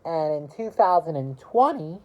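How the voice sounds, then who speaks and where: A woman in her early twenties chatting casually to camera about her year, rambling and halting, with lots of "you know" fillers. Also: deep voice, very animated, warm tone, dull audio. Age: early 20s. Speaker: vlogger.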